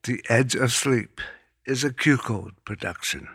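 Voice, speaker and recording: A man reading scripted credits as an announcer, in a measured, neutral manner. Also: mid-range voice, fairly bright tone, breathy edge, dry recording, soft background music.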